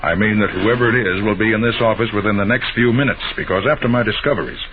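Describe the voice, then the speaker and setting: An actor playing a clever, self-assured private detective in 1940s radio drama style, explaining briskly and methodically. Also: male voice, on the low side, a crowd in the background, lightly acted. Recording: muffled, hissy old radio broadcast.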